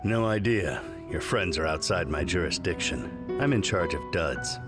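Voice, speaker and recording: Low-voiced, condescending research scientist. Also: male voice, lively intonation, normal speed, music in the background. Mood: detached, clinical. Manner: clinical, matter-of-fact, condescending. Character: detached, condescending middle-aged male research scientist at a hospital. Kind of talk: scripted video game cutscene acting.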